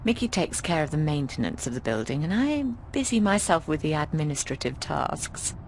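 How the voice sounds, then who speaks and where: A woman's voice: low voice, big pitch swings, normal speed, slight background noise, poor audio. An actress playing a worldly, teasing middle-aged landlady in a video game, breezy and wry, with a theatrical drawl. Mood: breezy, informative. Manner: theatrical, drawling, calls people darling. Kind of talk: video game dialogue acting.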